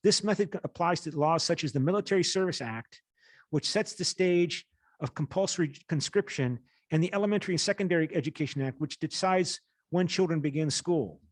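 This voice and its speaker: Higher-pitched man in his fifties, lecturing. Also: brisk, dry recording. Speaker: university lecturer. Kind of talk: lecture, reading an article aloud with asides.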